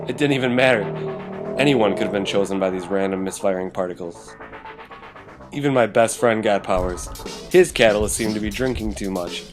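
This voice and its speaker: A gloomy young man , voiced by a male actor, narrates his life in a motion comic as if writing a letter. His voice sits fairly low, with music clearly playing underneath. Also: natural voice. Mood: bitter, resigned. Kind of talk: scripted first-person motion comic narration.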